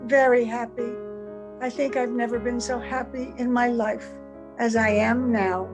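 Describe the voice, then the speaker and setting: High voice, woman playing a gentle mysterious wife, slow tender delivery, wistful, radio drama, music behind. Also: fairly even intonation, natural voice. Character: gentle, wistful ageless wife, mysterious visitor, secretly old. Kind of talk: old-time radio drama acting. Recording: home video call with music.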